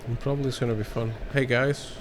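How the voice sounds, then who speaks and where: A man's voice: medium pitch, steady tone, fast, noisy background. A drunk man, a native Spanish speaker with a Spanish accent, giving rambling, sweary, casual gameplay commentary as a streamer. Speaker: streamer, speedrunner.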